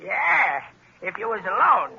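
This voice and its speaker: A man's voice: sits high, very animated, measured pace, gravelly, sharp tone, husky, full character voice. An actor playing a nervous, whiny male henchman in a 1940s radio drama. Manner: whiny, protesting. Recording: dull lo-fi old radio recording.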